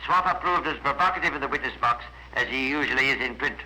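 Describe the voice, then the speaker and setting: A man's voice: mid-range voice, fast, bright, forceful tone. An actor playing a composed, wry male narrator of a scripted 1950s radio crime drama. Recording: noisy vintage 1950s radio recording.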